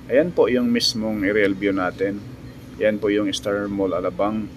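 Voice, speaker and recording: A man narrating drone footage as a vlogger, halting, with frequent pauses. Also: on the low side, steady tone, noisy background. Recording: music behind the voice. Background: music.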